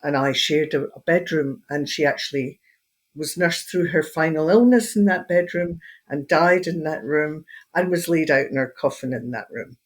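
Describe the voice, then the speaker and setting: An older woman from Edinburgh, Scotland, whose Scottish accent has taken on an Australian edge, speaking calmly and with pauses as an interview guest. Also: low voice, husky. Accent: Scottish, Edinburgh, mixed with Australian. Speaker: guest, hypnotherapist and end-of-life doula. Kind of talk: casual interview conversation.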